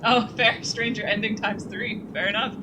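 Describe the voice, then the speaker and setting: A young woman streaming a game, chatty and playful, reacting quickly to the story. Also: medium pitch, steady tone, noisy background. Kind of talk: live game commentary. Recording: voice with game music behind.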